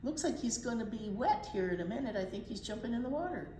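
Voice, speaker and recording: Animated, high-pitched woman reading to children. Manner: expressive, with deliberate pauses and asides to children. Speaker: children's storytime teacher reading aloud. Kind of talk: animated picture book read-aloud for preschoolers. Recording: roomy echo, faint background noise.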